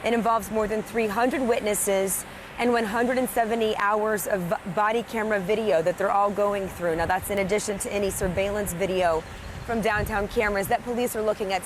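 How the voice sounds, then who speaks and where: A woman giving a live television news report outdoors at a downtown intersection. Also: medium pitch. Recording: noisy outdoor street with traffic.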